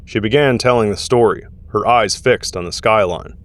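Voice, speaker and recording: A man's voice: fairly low pitch, moderate pace, a bit bright. A man narrating an audio drama from a script, telling the story in the third person.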